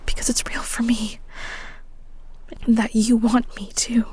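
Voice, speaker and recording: A woman playing an earnest, vulnerable, secretly lovestruck married woman of the 1940s in an intimate romantic audio roleplay, with breathy, halting, half-whispered delivery. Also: on the high side, flat delivery, a little mellow. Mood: earnest, confessing.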